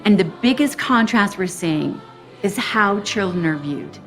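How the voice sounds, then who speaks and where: A woman's voice: mid-range voice, lively intonation, unhurried, fairly bright tone, soft background music. Earnest woman narrating a documentary.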